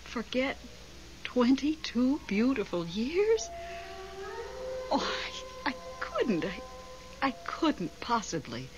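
Middle-aged widow: plaintive, devoted, animated intonation, stagey radio-play delivery on muffled vintage tape. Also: female voice, fairly high pitch, slow pace, long pauses, music in the background. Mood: stubborn, wistful. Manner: emotional, theatrical. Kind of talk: 1970s radio drama acting. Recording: dull, noisy old radio broadcast recording.

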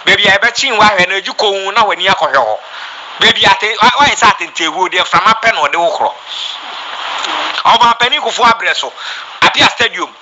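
A man's voice: high-pitched, animated, a little fast, highs cut off, background noise. A Ghanaian man giving an impassioned, scolding political rant, emphatic and repeating his words.

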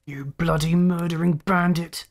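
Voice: medium pitch, fairly even intonation, moderate pace, close to an everyday voice. A narrator voicing a stern, hot-tempered male spaceship captain, barking orders in a science fiction story. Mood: angry, commanding. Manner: commanding, thundering orders.

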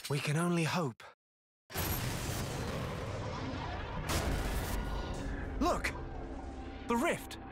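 Earnest high-voiced young hero. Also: male voice, big pitch swings, a little slow, over loud music. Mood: urgent. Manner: earnest, urgent. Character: earnest young male hero, thoughtful, resolute. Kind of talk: video game voice acting.

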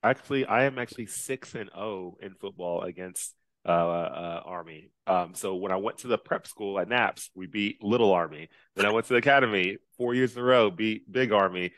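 Deep-voiced upbeat man on a headset. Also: normal speed, a bit bright, no room sound. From Dallas, Texas. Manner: upbeat, laughs easily, many fillers. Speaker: guest, Navy officer and race driver. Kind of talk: casual video-call interview. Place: small indoor room.

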